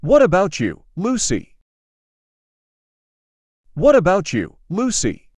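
A man's voice: high voice, wildly swooping pitch, takes it slowly, a little mellow, husky, dry recording. A man reading a simple English dialogue for learners, carefully enunciated and repeating each line. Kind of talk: slow scripted dialogue reading.

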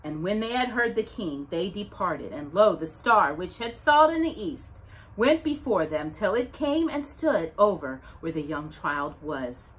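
A middle-aged woman hosting a children's storytime, reading aloud in an expressive, deliberate, dramatic way with emphatic pauses. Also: medium pitch, low-quality recording. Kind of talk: expressive read-aloud of Bible verses and a children's Christmas book. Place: living room at home, indoors by a brick fireplace.

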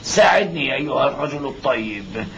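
A man's voice: medium pitch, lively intonation, a little fast, fairly bright tone, muffled recording, slight background noise. An actor playing a poor blind old father in a cartoon, groaning and muttering as he talks. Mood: plaintive searching. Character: poor blind old father, pitiful yet hopeful wanderer. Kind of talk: cartoon dub acting.

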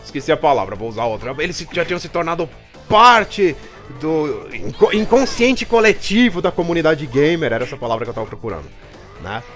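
A man's voice: fairly high pitch, expressive, brisk, fairly bright tone. A Brazilian man with a Brazilian Portuguese accent, who is catching a cold, hosting a comic let's play and clowning through invented dialogue for the characters. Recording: game music behind.

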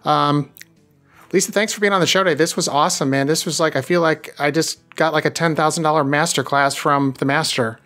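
A man's voice: a little high, steady tone, fairly bright tone, soft background music. A man hosting a business podcast, chatting with a guest in an enthusiastic, rambling way. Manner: enthusiastic, rambling, drawn-out phrasing. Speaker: podcast host. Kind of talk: casual interview chat.

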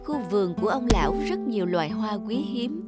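A woman's voice: mid-range voice, expressive, fairly quick, lightly acted. A woman playing the storyteller of a cartoon folk tale, in scripted storybook narration. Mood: storytelling. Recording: loud music under the voice.

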